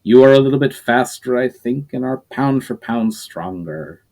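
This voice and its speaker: A man voicing a calm, wise nonhuman male mentor, in a measured, explanatory storybook reading voice. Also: mid-range voice, natural voice. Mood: instructive.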